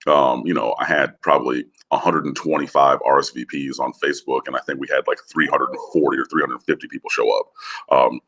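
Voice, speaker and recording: A man with a gravelly, hoarse voice, talking football casually over the phone with lots of fillers. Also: extremely low pitch, fairly even intonation, brisk, fairly bright tone. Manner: rambling with many fillers. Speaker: guest analyst. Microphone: phone line.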